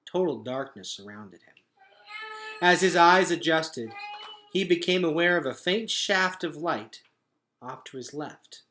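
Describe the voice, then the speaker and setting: A man reading a children's book aloud as a teacher would, with dramatic, expressive narration. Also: on the high side, a little slow, a little hiss or hum.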